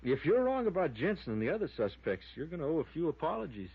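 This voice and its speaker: An actor playing a plain-spoken, cautious deputy sheriff in a 1950s radio drama, folksy and matter-of-fact. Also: male voice, fairly high pitch, very animated, fast talker, breathy edge, exaggerated voice. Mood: cautious, dry. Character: plain-spoken, cautious small-town male deputy sheriff, dryly teasing. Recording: muffled vintage radio broadcast.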